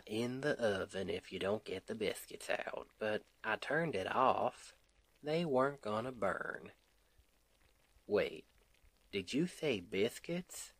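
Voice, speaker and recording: A man's voice: mid-range voice, no echo, heavily acted. A man playing a sweet, devoted Southern husband in an intimate ASMR roleplay, tender and affectionate, with a Southern US accent. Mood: playful, puzzled.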